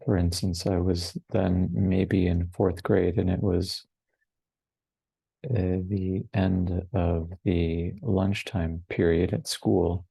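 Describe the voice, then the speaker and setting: A man in his forties leads a guided meditation, speaking very slowly in short, hushed phrases. His voice is low and husky, with long unhurried pauses in between. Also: little pitch movement, no room sound, rough recording. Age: 40s. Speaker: meditation teacher. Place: online retreat over video call, dry close sound.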